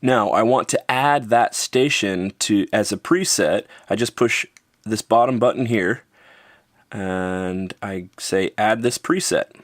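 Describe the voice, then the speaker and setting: A man with a North American accent talks through a gadget review in a fairly low voice. His delivery is casual and offhand, with a wry, informal touch. The sound is dry, with very little room in it. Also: takes it slowly, fairly bright tone, no echo. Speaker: product reviewer.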